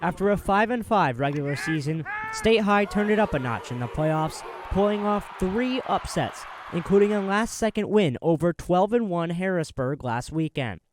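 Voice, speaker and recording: A man's voice: high voice, lively intonation, normal speed, few pauses, slight background noise. A man in his early twenties working as a sports broadcaster, delivering commentary and reporting. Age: early 20s. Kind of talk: hockey play-by-play commentary and scripted sports news narration.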